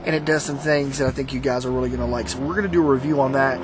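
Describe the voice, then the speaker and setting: A man giving a casual, unscripted product review to viewers in a halting, rambling, conversational way. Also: mid-range voice, fairly even intonation, noisy background. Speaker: product reviewer, host of a motorsport video channel.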